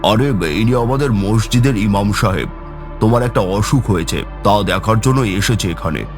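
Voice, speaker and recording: A man playing a gentle, anxious father in a cartoon, speaking in a coaxing, affectionate way. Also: lowish voice, animated, moderate pace, over music, close to an everyday voice. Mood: welcoming. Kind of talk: cartoon voice acting.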